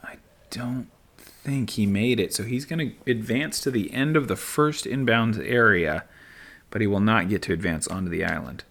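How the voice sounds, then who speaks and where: A middle-aged man giving relaxed play-by-play commentary as a voice-over, easygoing and dry, thinking aloud as he goes. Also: on the low side, moderate pace, gravelly. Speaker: sports commentator. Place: voice-over, not at the course.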